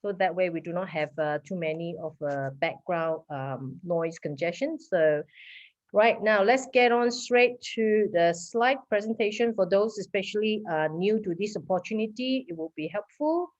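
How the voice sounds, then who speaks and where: A woman from Malaysia with a Malaysian accent presenting at an online meeting, quick and full of fillers. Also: medium pitch, expressive. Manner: fast, with many fillers. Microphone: video call. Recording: dull lo-fi call audio.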